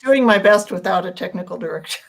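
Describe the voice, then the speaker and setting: Woman, creaky mid-pitched voice, casual chat, informal. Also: animated, fast.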